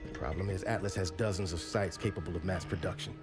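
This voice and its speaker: A man's voice: low voice, steady tone, fast talker, music in the background, lightly acted. A man playing a sober intelligence analyst in a game cutscene, explaining things in a matter-of-fact way. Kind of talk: video game cutscene acting.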